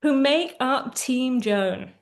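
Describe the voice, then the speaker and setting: Woman with a high-pitched, gentle voice. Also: lively intonation, slow pace. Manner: gentle, instructive, many fillers. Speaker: therapist and coach. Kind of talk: guided tapping session.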